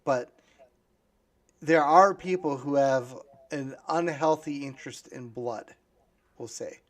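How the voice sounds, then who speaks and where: A man's voice: mid-range voice, slow pace. A man, an author, talking in a casual discussion, hesitant and thinking aloud as he goes.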